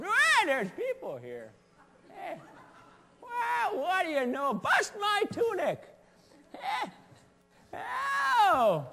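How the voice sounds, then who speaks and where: Folksy old shepherd, high voice swooping widely, sassy and excitable, comic stage monologue in an echoing hall. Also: male voice, creaky, heavily acted. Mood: surprised, welcoming. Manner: rambling, playful asides, scolding and chuckling. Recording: long echoing hall reverb.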